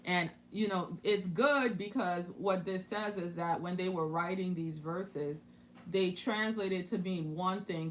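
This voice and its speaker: Woman musing through scripture, lower voice. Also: a little hiss or hum. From Haiti. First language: French. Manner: thinking aloud, with many restarts and asides. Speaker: Bible teacher. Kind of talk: casual Bible study commentary.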